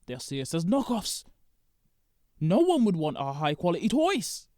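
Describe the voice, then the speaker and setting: A man's voice: high-pitched, huge pitch swings, moderate pace, darkish tone, no room sound, clean studio sound. A man playing Santa as a sheepish, stubborn boss in a scripted comedic audio drama, hesitant and defensive.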